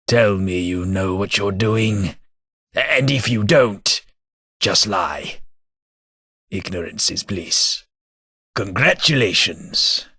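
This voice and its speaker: A husky, world-weary male ghoul with a British accent trades in dry, sarcastic upper-class wit, as a man voices him for a video game. His delivery is slow and unhurried, with a low but forceful, bright edge to the voice. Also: no echo, full character voice. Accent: British English. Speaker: game companion.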